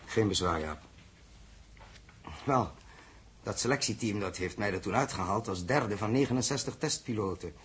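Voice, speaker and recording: A husky, earnest male spaceship commander. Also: lowish voice, lively intonation, normal speed, long pauses, a bit bright, exaggerated voice. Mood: earnest, trusting. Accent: Netherlands Dutch. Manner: composed, authoritative. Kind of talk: 1960s Dutch radio drama acting.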